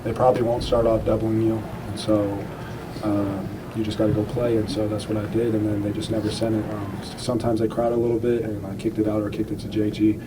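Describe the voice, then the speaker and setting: Low, flat-voiced young man, distant mic. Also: a little fast. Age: young adult. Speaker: college basketball player. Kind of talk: press interview. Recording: distant mic with background noise.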